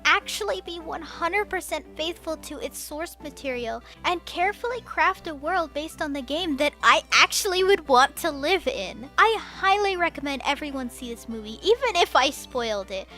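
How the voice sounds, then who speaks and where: High, lively young woman reviewer. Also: moderate pace, few pauses, soft background music. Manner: enthusiastic and expressive, with casual slang. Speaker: movie reviewer. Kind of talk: scripted review voice-over.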